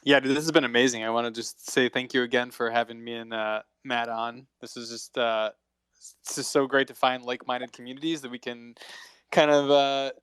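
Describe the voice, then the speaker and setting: A man's voice: medium pitch, level tone, brisk, fairly bright tone, clear, resonant tone, no room sound. A man in his late thirties, a guest on a live audio call, chatting in an upbeat, laughing way. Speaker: guest from a movie club project.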